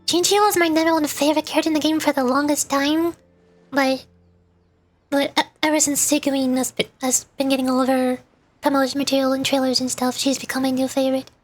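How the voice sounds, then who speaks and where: A woman's voice: sits high, flat delivery, quick pace. A woman hosting a gaming livestream, chatting casually to viewers in a rambling, playful way. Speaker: livestream host, VTuber. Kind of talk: casual chat to stream viewers while gaming. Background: background music.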